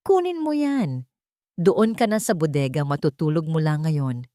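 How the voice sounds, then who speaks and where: A woman's voice: sits low, lively intonation, measured pace, dark tone, no echo, clean studio sound. A woman voicing a cold, merciless elderly matriarch in an audio story, commanding and contemptuous. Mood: cold contempt.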